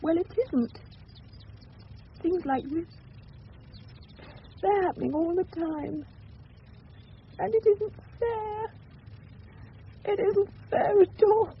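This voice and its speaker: A woman's voice: very high voice, takes it slowly, long pauses, full character voice. An actress playing a fragile, disillusioned married Englishwoman in a radio drama, speaking falteringly and tenderly. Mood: bitter, tearful. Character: fragile, disillusioned married woman, the boy's neighbour. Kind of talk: 1970s radio play acting. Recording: muffled, faintly hissy old radio broadcast.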